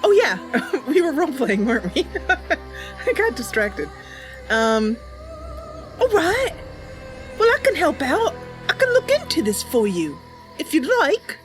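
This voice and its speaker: A bubbly, chatty woman livestreamer talking casually and improvising roleplay as she plays a game. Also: extremely high pitch. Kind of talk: casual live stream chat with improvised roleplay.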